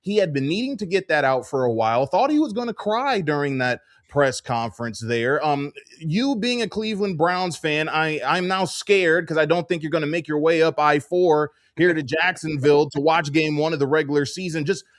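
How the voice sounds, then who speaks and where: A man's voice: a little high, lively intonation, normal speed, few pauses, no room sound, clean studio sound. A man hosting a sports radio show, speaking in an upbeat interview style.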